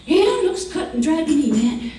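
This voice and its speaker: High-voiced, crotchety frontier doctor. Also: male voice, expressive, warm tone. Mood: gleeful, needling. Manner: drawn-out, chuckling, needling delivery. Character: crotchety, teasing old frontier town doctor. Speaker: actor in a radio western. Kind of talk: old-time radio western acting. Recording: echoey, distant, hissy old radio recording.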